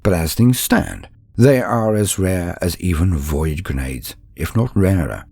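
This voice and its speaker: A man's voice: sits low, unhurried, gravelly, darkish tone, breathy edge, no room sound, studio quality. A man narrating scripted lore and stories as a channel host, in a formal, grandiose, theatrical manner.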